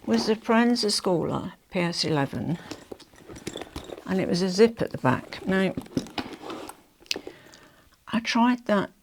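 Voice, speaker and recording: Croaky older Englishwoman chatting to camera. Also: medium pitch, lively intonation, slow pace, long pauses, noisy background. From England. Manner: rambling, self-interrupting, confiding asides. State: hoarse, croaky from a throat ailment. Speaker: fashion vlogger.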